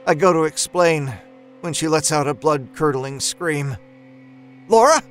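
A man reading first-person horror fiction as dramatic scripted narration, with a deliberate, theatrical delivery. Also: on the high side, fairly even intonation. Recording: narration over a music score. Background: soft musical score.

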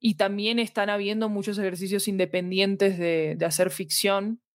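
A woman's voice: mid-range voice, flat delivery, moderate pace, no echo, clean studio sound. A woman with a Latin American Spanish accent, talking reflectively and at length as a guest in a casual interview. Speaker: interview guest, audio fiction expert.

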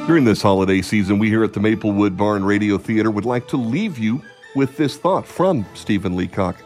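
A man's voice: on the low side, a little fast, darkish tone. A man giving a scripted sign-off as the announcer of a radio theatre show. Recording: music under the voice. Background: music.

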